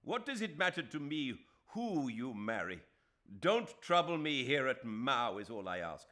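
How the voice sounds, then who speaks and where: Guy reading as a hurt proud man blowing up, loud raised voice, lively swings. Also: mid-range voice, a little slow, voice pushed up. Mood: furious. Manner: impassioned, bitter declarations. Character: proud, wounded Indian man, furious.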